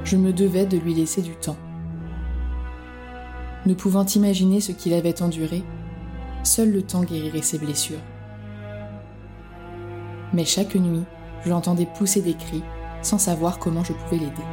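A woman playing a gentle young cousin in an audio drama, speaking softly and tenderly. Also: on the low side, fairly even intonation, normal speed, pauses often, over music, close to an everyday voice. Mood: worried.

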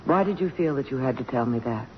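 A woman's voice: extremely low pitch, animated, quick pace, a little hiss or hum. Self-possessed middle-aged congresswoman, muffled old radio. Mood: wistful, guarded. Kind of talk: 1950s radio drama acting.

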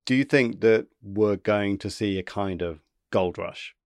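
A man's voice: fairly low pitch, unhurried, no room sound, studio quality. A male science reporter hosting and moderating a panel discussion in a conversational tone.

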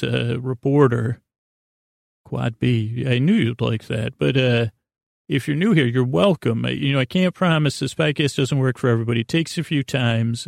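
A man hosting a bedtime podcast, talking in a meandering, halting, silly way with frequent repeats and a creaky voice. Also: mid-range voice, no echo, studio quality. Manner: silly, playful banter with an imaginary guest. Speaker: podcast host. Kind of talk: rambling bedtime podcast monologue.

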